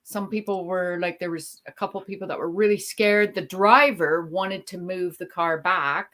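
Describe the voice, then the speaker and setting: Chatty, low-voiced woman streamer. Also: fairly even intonation, normal speed, a bit bright. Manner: chatty, rambling storyteller with many ums. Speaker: livestream host. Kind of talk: casual chat on a livestream.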